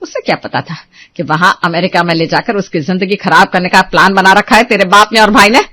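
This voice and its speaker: A woman's voice: mid-range voice, brisk, pushed and bright. A woman playing an accusing, domineering middle-aged mother-in-law in a melodramatic Hindi family drama, scolding with bitter sarcasm. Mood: bitter, aggrieved.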